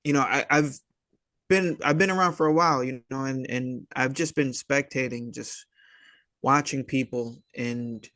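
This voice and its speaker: A man with a medium-pitched voice talks casually as an interview guest. His delivery is halting and hesitant, full of street-casual slang. The sound is dry, with no room around it. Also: fairly even intonation, fairly bright tone, no room sound. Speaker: filmmaker and actor, interview guest. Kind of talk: casual chat.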